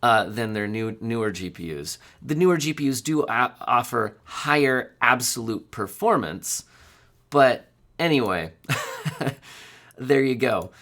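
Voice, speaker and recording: A man with a cold-roughened, hoarse voice runs through tech news in an easygoing, conversational way. His pitch sits in the middle range, and he often stops to correct himself or drops in small fillers. He is recorded close on a studio mic in a home studio. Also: expressive, measured pace, fairly bright tone. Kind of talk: casual news commentary. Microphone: studio condenser mic.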